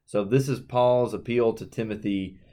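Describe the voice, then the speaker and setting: Low, mellow man reading scripture. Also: moderate pace. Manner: steady, reads verses aloud plainly. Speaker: podcast co-host.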